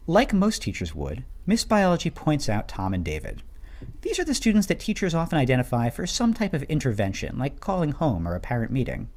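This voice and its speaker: Man narrating with very animated, swooping pitch. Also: brisk. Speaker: narrator of a teacher training video. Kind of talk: scripted explainer narration with storytelling.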